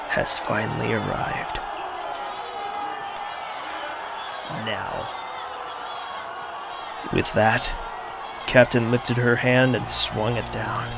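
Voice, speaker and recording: A man playing a cold, vengeful lord in an audio drama, narrating in a measured, solemn manner. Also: mid-range voice, fairly even intonation, creaky, music in the background, a crowd in the background. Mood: cold, resolute. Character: cold, vengeful lord commanding mercenaries, grieving his sister.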